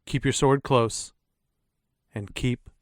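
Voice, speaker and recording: A male podcast host with a mid-pitched voice delivers a scripted introduction. His phrasing is theatrical and playful, like a storyteller's, loosened by casual asides. The sound is close and dry, with very little room in it. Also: fairly even intonation, slow pace, no room sound.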